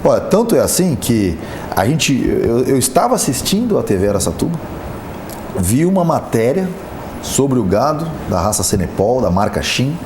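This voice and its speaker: A man hosting a casual business talk show, chatty and lively as he introduces the guest and plugs sponsors. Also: mid-range voice, normal speed. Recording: echoey studio with background noise.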